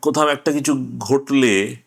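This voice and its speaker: A man interviewing someone, making his argument conversationally and haltingly, in short fragments. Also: medium pitch, gravelly.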